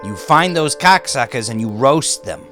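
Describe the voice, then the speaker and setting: A man's voice: medium pitch, expressive, moderate pace, pitched above the performer's own voice, close to an everyday voice. A man voicing a cocky, needling older gangster fixer with street-tough, taunting speech, in an audiobook crime story. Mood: smug, patronizing. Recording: music behind the voice.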